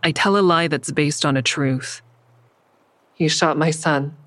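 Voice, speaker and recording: A woman's voice: deep voice, measured pace, fairly bright tone, dry recording. A woman playing a wary, stubborn mother who narrates her risky investigation in the first person in an audio drama. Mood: guarded, uneasy.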